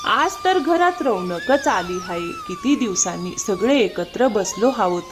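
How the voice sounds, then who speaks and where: Woman playing warm, animated village mother. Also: fairly high pitch, a little slow, over music. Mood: warm, touched. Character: warm, concerned village family elder. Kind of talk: animated moral story dialogue.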